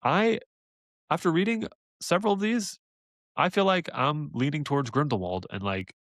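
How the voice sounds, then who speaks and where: A man co-hosting a casual podcast, animated and laughing often, trailing off into fillers. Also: fairly high pitch, moderate pace, dry recording, studio quality. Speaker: podcast co-host.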